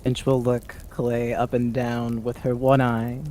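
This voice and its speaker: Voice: medium pitch, little pitch movement, measured pace, a little mellow, a little hiss or hum. A tabletop role-player giving casual, improvised narration of what their character does.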